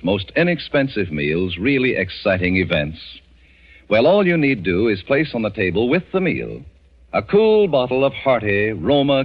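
A man reading a scripted 1940s radio commercial as announcer, polished, with deliberate emphasis. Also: mid-range voice. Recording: dull 1940s radio broadcast recording.

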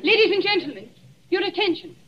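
Posh proper lady giving a formal speech in old 40s radio play, super high voice, dull sound. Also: sing-song, fairly quick. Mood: solemn. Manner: stately, proper, declamatory. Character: genteel, formal English lady addressing a village gathering. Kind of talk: formal public speech in 1940s radio acting. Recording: muffled 1940s radio recording.

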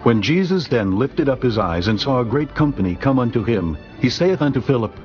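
A man narrating scripture in a steady, formal reading voice. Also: medium pitch, a little fast, lo-fi sound. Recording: music underneath.

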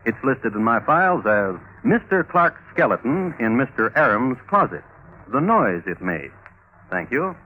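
An actor playing a droll, precise crime historian, narrating in a measured deadpan in old radio style. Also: male voice, medium pitch, a little hiss or hum. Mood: dry, measured. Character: droll, precise crime historian hosting true murder tales. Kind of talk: scripted 1950s radio narration. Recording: tinny old radio recording.

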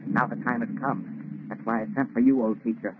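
An actor playing an arrogant, obsessed scientist in an old radio melodrama, declaiming theatrically in a husky voice. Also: male voice, mid-range voice, fairly even intonation, brisk, noisy background, natural voice. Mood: exultant, grandiose. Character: arrogant, feverishly obsessed scientist creator of a monster. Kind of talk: 1930s radio melodrama acting. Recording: tinny, band-limited old radio recording.

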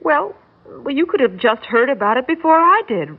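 A skeptical, easily flustered young woman in a classic radio play. Her pitch swings expressively and she hesitates and stammers. The sound is narrow and tinny, like an old telephone line. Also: sing-song, normal speed. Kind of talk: classic radio drama acting. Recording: muffled narrow-band old radio recording.

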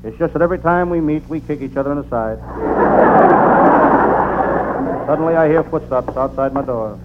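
An actor playing a deadpan, world-weary private detective narrating an old-time radio comedy. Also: male voice, a little high, fast talker, close to an everyday voice. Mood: dry, grumbling. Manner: deadpan, punning first-person delivery. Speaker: narrator and lead character. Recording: noisy, narrow-band vintage radio recording.

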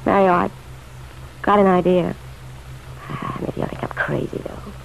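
A woman's voice: on the low side, a little slow, gravelly. An actress playing a bitter, pleading, lovelorn city woman in a vintage radio drama, blunt, slangy and insistent. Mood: eager pleading. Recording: dull, noisy old radio recording.

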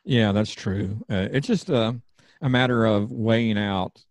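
A man with a Southern accent, a podcast guest speaking in casual conversation with slightly slurred, halting speech. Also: fairly low pitch, no echo.

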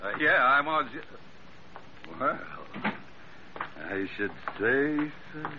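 An actor playing an eager, easily flustered ship's mate in an old-time radio adventure, stammering in a folksy way. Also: male voice, fairly high pitch, extremely slow. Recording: muffled, noisy vintage radio recording.

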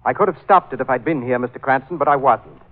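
An actor playing a polite, controlled villain bent on avenging his brother, quietly threatening in 1940s radio drama style on a tinny recording. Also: medium pitch, fast talker, close to an everyday voice. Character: coolly menacing, polite vengeful brother, man, controlling villain.

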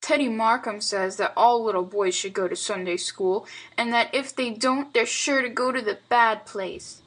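A woman's voice: a little high, moderate pace, a bit bright, low-quality recording. A woman voicing a mischievous, earnest little boy in a storybook, with childish slang and plaintive reasoning. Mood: earnest, defiant. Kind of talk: storybook character dialogue.

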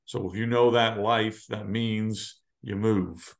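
A man, a high school football coach, telling stories in a relaxed, easygoing way as a podcast guest. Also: slow pace, creaky. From United States. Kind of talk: casual storytelling in interview.

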